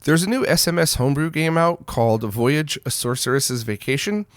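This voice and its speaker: A man's voice: on the high side, lively intonation, moderate pace, no room sound. A man hosting a news roundup in a casual, blunt, opinionated way, speaking informally straight to viewers. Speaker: host of a retro gaming news show.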